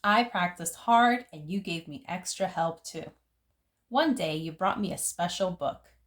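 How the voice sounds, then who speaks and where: A woman reading a picture book aloud as a storyteller, phrasing it deliberately for young listeners. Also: lowish voice. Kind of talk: expressive picture book narration.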